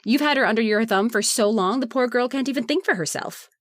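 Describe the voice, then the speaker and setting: A woman's voice: on the high side, fast, dry recording, clean studio sound, lightly acted. A woman playing a determined, defiant younger sister in an old-time radio drama. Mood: defiant, indignant.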